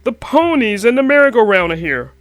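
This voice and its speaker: A woman voicing a jolly, easygoing papa bear with a hearty, lowered voice. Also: sing-song, brisk, no room sound, soft background music. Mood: cheerful.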